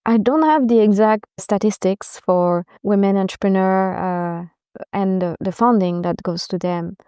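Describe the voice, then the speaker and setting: A woman guest on an interview podcast, answering in a measured, earnest and warmly enthusiastic way. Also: mid-range voice, clean tone, dry recording, studio quality. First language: not Japanese. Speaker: podcast guest, venture investor.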